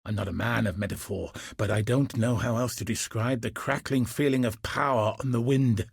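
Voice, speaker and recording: A man voicing a grim, dutiful soldier commander in a video game, speaking with husky, solemn, earnest resolve. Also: mid-range voice, steady tone, normal speed, no echo, clean studio sound. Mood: concerned, grave. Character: grim, dutiful male soldier commander hunting cosmic threats. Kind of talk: scripted video game character dialogue.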